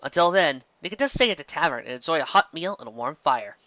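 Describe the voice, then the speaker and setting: A man reading fantasy fiction aloud as an amateur storyteller, expressive, occasionally stumbling over words. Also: on the high side, fast, dry recording, muffled recording.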